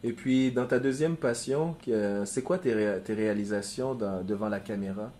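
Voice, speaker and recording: A man's voice: on the low side, moderate pace, mellow, a little hiss or hum. A man interviewing a guest, asking short, slightly hesitant questions.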